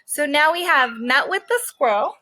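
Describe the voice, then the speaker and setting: A woman's voice: sits high, animated, normal speed, sharp tone, no room sound. A woman teacher reading a children's book aloud expressively, stressing key words and adding explanatory asides for young listeners.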